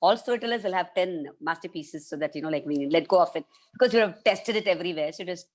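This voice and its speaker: A woman with an Indian English accent, a professional storyteller chatting as a guest on a video call, animated, slipping into voices for the people she quotes. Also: on the low side, quick pace. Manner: animated, acts out quoted voices, laughs often. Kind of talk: casual interview chat.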